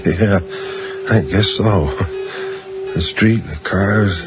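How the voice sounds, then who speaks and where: Radio drama: confused middle-aged accident patient, slow and halting, obsessive, muffled broadcast under strong music. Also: male voice, lowish voice. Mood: dazed musing. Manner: hesitant, halting, musing. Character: dazed male accident patient with a strange obsessive story. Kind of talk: 1980s radio drama acting. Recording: dull 1980s radio broadcast, loud music.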